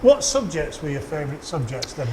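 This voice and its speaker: A man's voice: a little high, very animated, fairly quick. A middle-aged or older man, hosting a casual radio interview in a genial, jokey way. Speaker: community radio host, interviewer. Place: live radio theatre with audience. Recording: echoey room, faint background noise.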